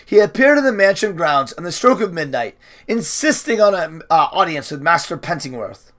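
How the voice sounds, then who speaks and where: A man's voice: a little high, big pitch swings, normal speed, fairly bright tone. Man acting a nervous, wailing little man. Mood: nervous explaining. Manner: hesitant, wailing, stammering. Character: small, miserable, nervous man, a shirking messenger.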